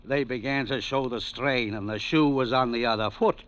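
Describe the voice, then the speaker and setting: An actor playing a grandiloquent, shaky skid row drunk with pompous, florid diction in a 1940s radio drama. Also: male voice, medium pitch, moderate pace, fairly bright tone, smooth, lightly acted. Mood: boastful, rambling. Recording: dull, band-limited vintage radio recording.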